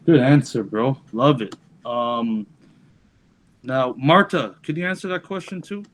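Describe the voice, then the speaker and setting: A man's voice: medium pitch, unhurried, a bit bright, slight background noise. A man hosting an online panel discussion, affirming guests briefly and calling on the next speaker. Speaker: host. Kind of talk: casual panel moderating.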